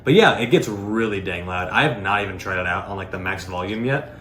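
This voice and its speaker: A man's voice: deep voice, brisk, a bit bright. A man giving a casual, unscripted product demo, rambling informally and peppering his talk with filler words. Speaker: product reviewer. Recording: roomy echo, faint background noise.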